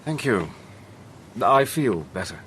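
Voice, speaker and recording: A resourceful agent on the run in a radio drama, worn down by fever. He coughs and stumbles over his words, hesitant but polite, in a voice of middling pitch with a faint hiss behind it. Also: sing-song, fairly bright tone. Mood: evasive. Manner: halting, hesitant, courteous. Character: feverish British agent hiding in wartime Germany, man, resourceful.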